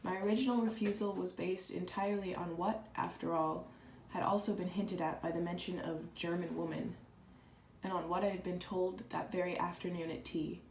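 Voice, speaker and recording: A woman playing an earnest, proud and candid letter writer in a dramatized reading, speaking reflectively and deliberately. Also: fairly low pitch, steady tone, darkish tone, lightly acted. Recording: echoey room with clear background noise.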